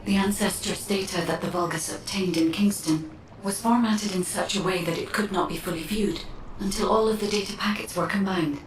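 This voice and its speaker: A woman's voice: medium pitch, fairly even intonation, fairly quick, close to an everyday voice. An actress playing a grave, formal female informant in a video game, explaining things carefully, with an echoey, distant, noisy sound. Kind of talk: scripted game dialogue.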